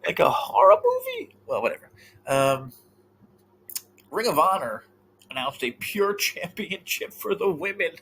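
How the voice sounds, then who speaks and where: A man co-hosting a casual podcast chat, animated and swearing freely, often trailing off mid-thought. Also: high voice, unhurried, gravelly, slightly rough, rough recording. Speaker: podcast co-host.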